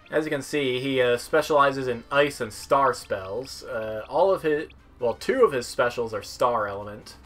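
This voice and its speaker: A young man talks through a video game demo in a casual, offhand way. His voice sits at an average male pitch, and his delivery is a bit halting. Game music plays clearly behind him. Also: fairly bright tone. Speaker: gamer demoing video game characters. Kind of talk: casual gameplay commentary. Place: indoor room at home, seated.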